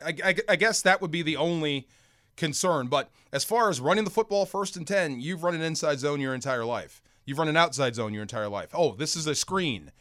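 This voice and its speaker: A man's voice: mid-range voice, a bit bright, no room sound, clean studio sound. An American man giving conversational football analysis as a sports show guest, explaining his points with examples.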